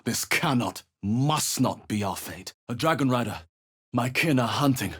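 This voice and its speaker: An actor playing a male adventurer hero in a video game, wary and level-headed, with measured, earnest and theatrical delivery. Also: mid-range voice, lively intonation, a bit bright, breathy edge. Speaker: video game player character. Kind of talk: scripted game voice lines, short exploration remarks and spell chants.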